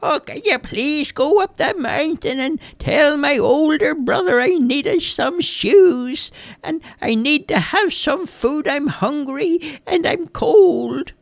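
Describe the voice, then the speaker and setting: A man voicing a frail, very old man stranded in a cave, pleading in a high, quavering, strained falsetto. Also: lively intonation, vocal fry, dry recording, full character voice. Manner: slow, whimpering pleas.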